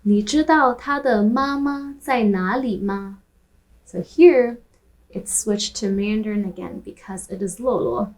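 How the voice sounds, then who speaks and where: A woman who grew up speaking Taishanese, hosting a language lesson where she repeats phrases slowly and translates them. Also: medium pitch. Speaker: language teacher and host. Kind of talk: language lesson with film clips.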